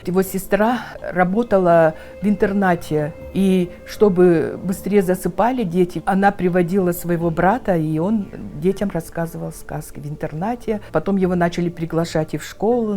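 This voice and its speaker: A woman's voice: lowish voice, moderate pace, mellow, soft background music. A woman interviewed as an expert, explaining things in an unscripted way. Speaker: interviewed expert on folk tales.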